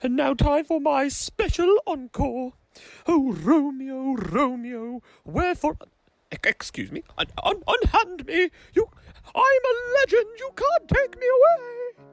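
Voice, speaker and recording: A woman's voice: high voice, lively intonation, slow, exaggerated voice. A woman playing a vain, grandly theatrical actress in a radio comedy drama, declaiming with melodramatic indignation. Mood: outraged.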